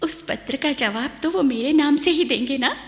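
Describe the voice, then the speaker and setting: A woman's voice: sits high, expressive, normal speed, soft background music. A woman playing a lovestruck young bride-to-be, musing dreamily and wistfully in a radio play monologue. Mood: dreamy longing. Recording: echoey studio with long reverb.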